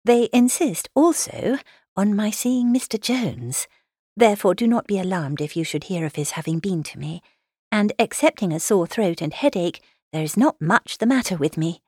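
A woman voicing a gentle, polite young lady with a composed manner, reading a letter in a classic novel. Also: medium pitch, expressive, normal speed, slightly rough, dry recording, clean studio sound. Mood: mild, reassuring.

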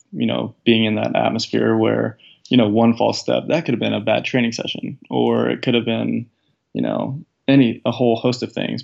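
A man in his twenties, a guest in an interview, speaking in a casual, reflective way with a deep, raspy voice. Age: 20s. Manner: reflective, thoughtful, many fillers. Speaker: guest, professional soccer player. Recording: dull lo-fi sound.

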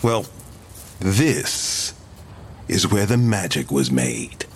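Animated, casual young boyfriend. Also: male voice, low-pitched, drawn-out words, slight background noise, full character voice. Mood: teasing. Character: affectionate, teasing muralist boyfriend. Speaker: character actor, the boyfriend. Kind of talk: audio drama dialogue, naturalistic.

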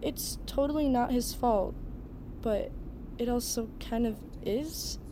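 Teen daughter: high, slow sulky slang, faint cabin noise behind. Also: female voice. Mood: earnest, hurt. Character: sulky teenage daughter glued to her phone. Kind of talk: audio drama acting. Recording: noisy airplane cabin ambience.

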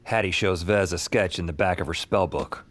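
A man's voice: low-pitched, normal speed, fairly bright tone. An actor playing a weary, wry young man who narrates and lives his own supernatural adventure in an audio drama. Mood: narrating, wry.

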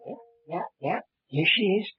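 An actor playing a gruff, folksy older man, a blustering town mayor in an old-time radio mystery. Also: high voice, expressive, normal speed, faint music behind. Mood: grave, grumbling. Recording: muffled vintage radio drama recording.

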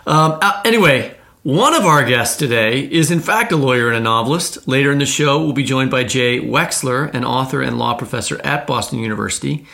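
Gravelly, bright-voiced man co-hosting. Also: medium pitch, a little fast, hardly any pauses. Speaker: podcast co-host. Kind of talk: casual podcast chat.

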